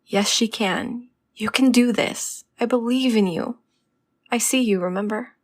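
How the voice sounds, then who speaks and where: A woman's voice: a little high, a little slow. A woman playing a supportive, reassuring friend in an audio drama. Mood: encouraging. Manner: encouraging.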